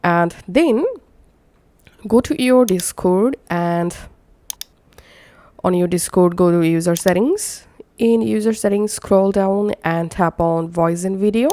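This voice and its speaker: A woman with a slightly low voice narrates a software tutorial. She gives instructions one step at a time, as the presenter of a screen-recorded how-to. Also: slow pace. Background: screen-recording voice-over.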